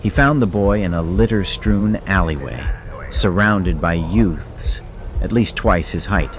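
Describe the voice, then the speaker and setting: A man's voice: low-pitched, animated, unhurried, highs cut off. A man giving dramatic scripted narration of a fiction audiobook. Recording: studio narration over cinematic music.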